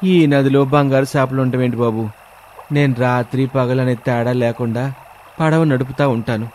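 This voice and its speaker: A man's voice: medium pitch, fairly even intonation, normal speed, darkish tone, slight background noise, lightly acted. A man playing a humble, folksy village boatman who speaks deferentially in a storybook tale. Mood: respectful, puzzled. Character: humble rustic boatman, respectful to young master.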